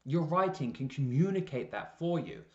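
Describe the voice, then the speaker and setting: A man presenting a scripted promotional explainer in a measured, deliberate way with emphasis on key words. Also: a little high, sing-song. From Canada. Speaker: presenter, freelance copywriter. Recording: roomy echo, slightly dull lo-fi sound.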